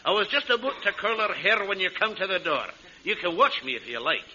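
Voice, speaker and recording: An actor playing a cheerful, quirky Scottish husband in 1940s radio comedy, on a muffled, noisy old recording. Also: male voice, high-pitched, animated, fairly quick, bright, forceful tone, full character voice. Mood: genial, matter-of-fact.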